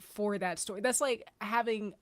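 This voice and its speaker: A woman in a casual panel chat, giving her opinions in a plain-spoken, measured way. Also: mid-range voice, dry recording.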